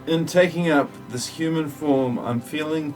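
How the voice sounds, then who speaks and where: Guy doing solemn mystic dude in human form, slow n earnest cryptic wisdom, parody audio play, bit of music behind. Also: fairly high pitch, a little mellow, natural voice, rough recording.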